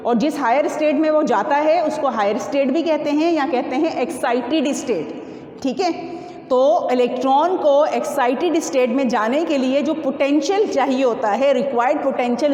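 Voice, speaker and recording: High-pitched woman teacher in an echoey room. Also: mellow. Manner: instructive, repetitive, asks and answers own questions. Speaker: physics teacher. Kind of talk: classroom lecture working through numerical problems. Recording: echoey reverberant room with faint noise.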